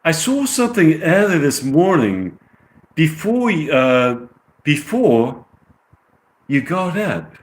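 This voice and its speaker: An American man acts out an earnest Englishman in an amateur script reading. The English accent is shaky and put on, the pitch lifts and swings, and the delivery is halting and deliberate. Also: big pitch swings.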